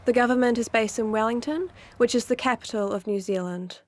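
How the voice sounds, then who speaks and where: A woman narrates civic information from a script. Her voice sits fairly high, and she reads in a plain, even manner. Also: a little fast.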